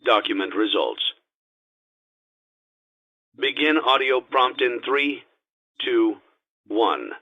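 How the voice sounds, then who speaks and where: A man voicing a calm, grave instructor on cassette training tapes for a video game, speaking formally in a measured, matter-of-fact and ominous way. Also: mid-range voice. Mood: procedural, detached. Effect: cassette tape filter. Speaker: instructional tape narrator. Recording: dull, lo-fi cassette tape sound.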